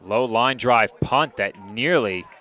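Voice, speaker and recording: Play-by-play man on a headset: bright, cutting voice, brisk and exact, dry quips. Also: mid-range voice. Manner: brisk, precise, lightly wry. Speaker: play-by-play announcer. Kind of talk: live football play-by-play.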